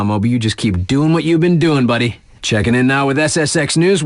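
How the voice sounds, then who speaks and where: A man's voice: mid-range voice, no room sound, studio quality, close to an everyday voice. An actor playing an upbeat, cool male radio DJ in a video game, delivering news patter with chummy slang and hype for the athletes.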